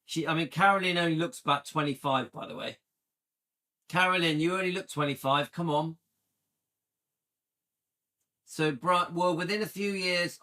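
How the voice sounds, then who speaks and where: A man's voice: on the high side, bright, forceful tone. A man in his late fifties from the UK, chatting casually and enthusiastically with viewers on a livestream and often checking in with "yeah?". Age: 50s. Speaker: livestream host.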